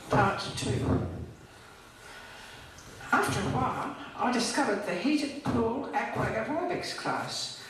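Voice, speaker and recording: A woman's voice: fairly high pitch, lively intonation, slow pace, pauses often, far from the mic. An older woman reading her own poem as a monologue, recorded and played back in a hall. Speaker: poet. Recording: played over echoey hall speakers, faint noise. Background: faint hiss of hospital oxygen.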